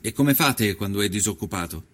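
A man's voice: lowish voice, lively intonation, a little fast, a bit bright. An actor playing an observant nineteenth-century journalist, reading his travel diary as scripted narration in speech. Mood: inquiring. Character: male journalist of the 1890s, observant, admiring traveller. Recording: music behind the voice. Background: music.

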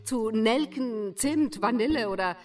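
An actress in a radio play as a keen, persuasive woman pitching an idea in clipped, list-like phrases. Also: animated, measured pace, a little mellow. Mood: enthused, listing. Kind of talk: German radio play monologue. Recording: distant from mic, faint music.

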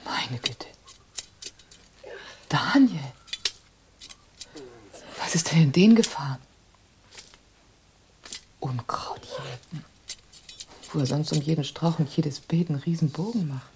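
Eine Schauspielerin als besorgte Anstaltsbesucherin in einem Hörspiel, die ausdrucksvoll und fragend spricht. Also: female voice, sits low, slow pace, pauses often, a little hiss or hum. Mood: dismayed. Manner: questioning, expressive. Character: concerned woman visiting an asylum for Daniel. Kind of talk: German radio drama acting.

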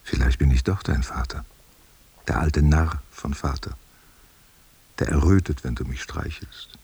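A man's voice: extremely low pitch, a little slow, darkish tone, slightly rough, exaggerated voice. An actor playing an aging, wistful stage actor who tenderly courts a younger woman, musing and questioning in an intimate radio play. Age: older.

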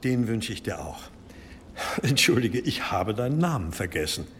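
Courteous abbot in reverberant hall. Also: male voice, lowish voice, big pitch swings. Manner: measured, formal, gently insistent. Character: courteous, unctuous monastery abbot with quiet authority. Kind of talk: German radio drama acting.

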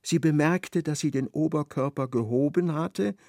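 A man's voice: a little high, moderate pace, gravelly, a little mellow, no room sound, studio quality. A man narrating a literary radio drama. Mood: measured.

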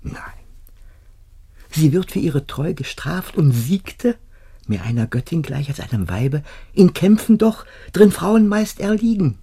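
An actor playing a loyal servant, anguished and indignant, declaiming in a classical radio drama. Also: male voice, on the high side, big pitch swings, a little slow, mellow, breathy edge, heavily acted. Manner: agonised, declamatory, swinging into whispers. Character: loyal male servant, anguished and indignant at his master's order.

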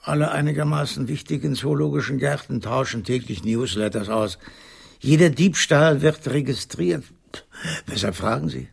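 An actor playing a gruff, self-assured veterinary dentist in a radio play, speaking dryly and deliberately with a gravelly, husky voice. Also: male voice, mid-range voice. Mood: matter-of-fact. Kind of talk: 1990s German radio play acting.

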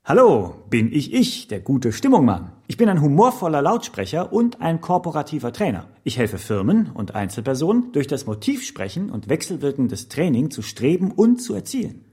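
An actor playing an eager, self-promoting corporate networker in a radio play, pitching in stilted, broken salesman's phrases. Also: huge pitch swings, moderate pace, few pauses, warm tone, breathy edge, heavily acted. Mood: upbeat promotional.

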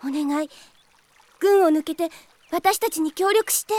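A woman playing a gentle, polite, earnest young healer in a Japanese radio drama. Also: very high-pitched, a little slow, dry recording. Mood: earnest, pleading. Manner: soft-hearted, polite, earnest. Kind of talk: Japanese anime-style radio drama acting.

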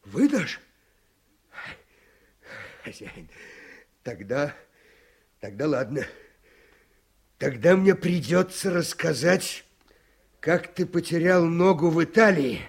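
An actor playing a desperate, servile henchman in a radio play, with a husky creaky voice and halting, stammering speech. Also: a little high, lively intonation, exaggerated voice. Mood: pleading, servile. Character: desperate, servile male henchman pleading for his job.

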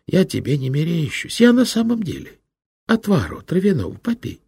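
A man voicing a gentle, talkative old male monk who lives in the forest, speaking softly and kindly. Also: on the high side, wildly swooping pitch, normal speed, gravelly, breathy edge, no room sound, pitched above the performer's own voice, heavily acted. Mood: soothing. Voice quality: soft, kindly, soothing.

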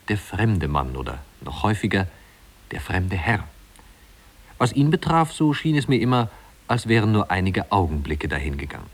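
Low-voiced wry storyteller. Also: male voice. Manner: leisurely, wry, conversational storytelling. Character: man narrator recalling his small-town youth, wry storyteller. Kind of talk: 1950s German radio drama narration.

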